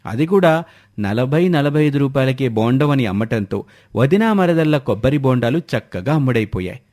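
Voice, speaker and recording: A man's voice: mid-range voice, lively intonation, normal speed, a little mellow. A man reading scripted story narration as a storyteller.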